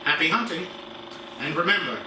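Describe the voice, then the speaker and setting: A man's voice: on the high side, animated, fairly quick, natural voice. A man playing a wealthy father formally reading out his riddling will, in 1940s radio play style. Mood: formal, mysterious. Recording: roomy, distant, noisy home-style recording.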